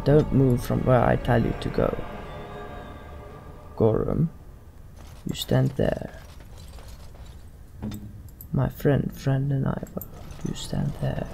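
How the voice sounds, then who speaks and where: A man narrates a video game playthrough in character, talking as if to his party of companions. His pitch moves around a lot, with a gravelly creak in the voice. Game music plays behind him. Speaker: let's play host. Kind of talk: in-character gaming commentary, narrating and giving party orders.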